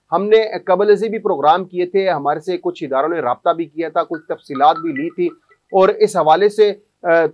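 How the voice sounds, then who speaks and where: Man, 30s, Pakistani Urdu accent, news anchor, emphatic questioning delivery, studio, lapel mic, higher pitch. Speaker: news presenter. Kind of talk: investigative news report to camera. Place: news studio in front of a screen. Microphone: clip-on lapel mic.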